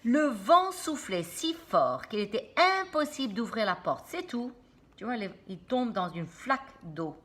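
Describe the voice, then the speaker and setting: A woman reading a children's storybook aloud like a teacher, expressive and dramatic, with explanatory asides to young listeners. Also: fairly high pitch, unhurried.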